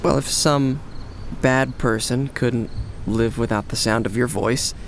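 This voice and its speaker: An actor playing an awkward, wry young medical resident with dry, deadpan humour in a naturalistic radio drama. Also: male voice, mid-range voice, unhurried, slight background noise, lightly acted. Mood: sly, teasing.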